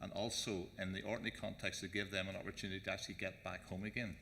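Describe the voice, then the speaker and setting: A man on a conference panel, talking quickly and haltingly with many restarts. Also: flat delivery, gravelly, pushed and bright. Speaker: panel speaker. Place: large conference hall. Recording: reverberant conference hall, faint rumble.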